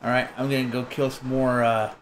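A man's voice: medium pitch, little pitch movement, fairly quick, slight background noise. A man streaming a video game, chatting casually with crude, joking banter while he plays. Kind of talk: casual gaming chat.

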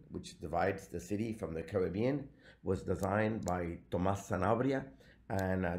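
A man, giving a recorded slide lecture in a measured way with frequent fillers. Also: deep voice, mellow. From Venezuela.

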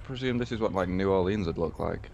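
Deadpan lower-voiced man, noisy gameplay. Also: brisk, gravelly, highs cut off. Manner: dry, deadpan teasing. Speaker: gaming commentator. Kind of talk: casual gaming chat. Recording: noisy game audio behind. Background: gunfire and game sounds.

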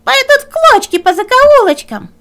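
A woman voicing a brazen, threatening female fox in a loud, shrill storybook sing-song. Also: big pitch swings, measured pace, full character voice.

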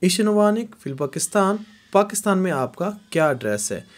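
Man teaching phrases slowly. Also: sing-song. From Pakistan. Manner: repeats phrases slowly, rising pitch on examples. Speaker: language teacher. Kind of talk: casual video lesson. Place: small indoor room.

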